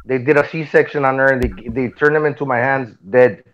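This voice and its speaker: A man in his forties from Mexico talks casually on a podcast panel. His voice sits at an average pitch, and he tells personal stories in a rambling way, circling back over his words. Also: brisk, dull audio. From Tijuana, Mexico. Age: 40s. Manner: rambling, repeats himself. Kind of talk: casual chat, personal storytelling.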